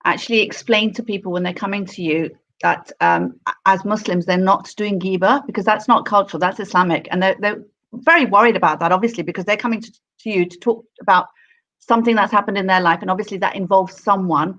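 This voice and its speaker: A woman's voice: mid-range voice, quick pace, a bit bright. A woman host poses her questions hesitantly, with plenty of ums as she searches for the words. The recording is dull and lo-fi, lacking clarity. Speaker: interview host. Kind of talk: conversational interview.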